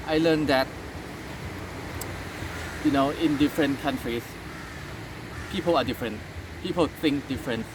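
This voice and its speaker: A man from Thailand with a Thai accent, speaking English as a second language in a casual interview, halting with many fillers and restarts. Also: medium pitch, noisy background.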